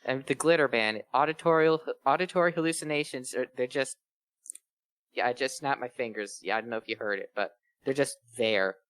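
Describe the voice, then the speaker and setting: Man, medium pitch, dry close recording, rambling casual riffs, mock-earnest. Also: brisk, a bit bright, smooth, no echo. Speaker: co-host reading fan fiction aloud. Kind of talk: casual chat with joking riffs.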